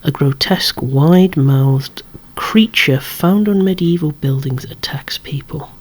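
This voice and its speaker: Hushed, breathy ASMR whisperer. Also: mid-range voice. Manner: hushed, unhurried, musing with wry asides. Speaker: toy collector presenting a collection. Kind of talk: whispered ASMR show-and-tell.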